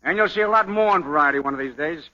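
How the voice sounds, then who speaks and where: Sharp, sneering American showbiz bully. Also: male voice, fairly high pitch, quick pace, dull audio. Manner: sneering, slangy wisecracking. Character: cocky, jealous, bullying male showbiz dance partner. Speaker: actor.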